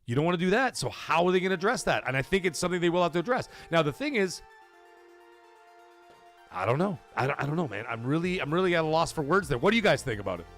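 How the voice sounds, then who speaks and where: A man hosting a casual live commentary stream, talking to his chat in an animated, profane way full of rhetorical questions. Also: fairly high pitch, fast, soft background music. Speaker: livestream host.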